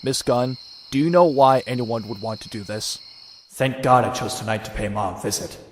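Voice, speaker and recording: A man's voice: medium pitch, normal speed, slight background noise, close to an everyday voice. Guy as young cocky antihero telling his story, dry sardonic, mid voice, lots of reverb, comic book narration. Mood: reflective, determined. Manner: wry, sardonic, conversational. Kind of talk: first-person scripted narration in motion comic.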